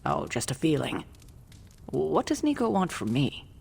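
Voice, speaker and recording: An actress playing a stern, composed sorceress with dry, pointed wit in a video game. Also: female voice, deep voice, wildly swooping pitch, a little slow, vocal fry, a little mellow, husky, in a large hall, heavily acted. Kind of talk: video game cutscene acting.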